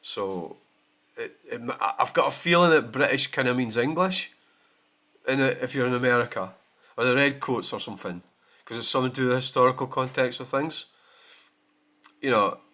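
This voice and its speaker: A man's voice: medium pitch, moderate pace, pauses often, bright, forceful tone. A Scottish man hosting his own channel, talking in a blunt, sweary and rambling way in a casual rant. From Scotland. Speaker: channel host.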